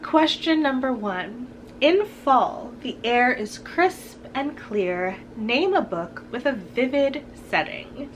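A young woman talking to camera about books in a room lined with bookshelves, chatty and upbeat, her voice husky from a cold. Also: on the high side, a little hiss or hum, low-quality recording. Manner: chatty, upbeat, laughs between sentences. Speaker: book vlogger. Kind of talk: casual book tag talk to camera.

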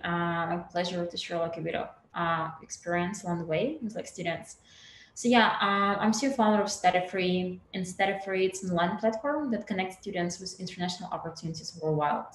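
A woman's voice: lowish voice, normal speed, slight background noise. A young adult woman from Russia with a Russian accent, a startup founder speaking casually on a video call panel, with lots of "like" and "uh". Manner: chatty, many fillers like "like" and "uh". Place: indoor room at home. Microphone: laptop webcam mic on video call. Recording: roomy video call audio, faint ambience.

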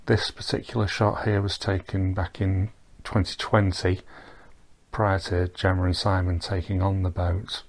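Man, deep voice, monotone, casual tour guide voice-over, rambling, ums and restarts. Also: unhurried. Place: recorded while wearing VR goggles, not on the boat.

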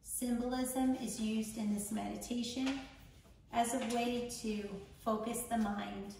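Female meditation guide, higher-pitched with some creak, gentle and unhurried, recorded in a very reverberant room. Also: fairly even intonation. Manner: soothing, gentle, measured with long pauses. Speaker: meditation guide, founder of a yoga charity. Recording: echoey room, distant mic, faint noise.

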